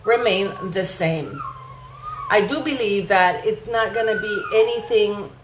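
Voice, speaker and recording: Female vlog host, mid-pitched, indignant and emphatic commentary, echoey room, faint noise. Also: a little slow. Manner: emphatic, indignant, rhetorical questions. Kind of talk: opinionated royal gossip commentary.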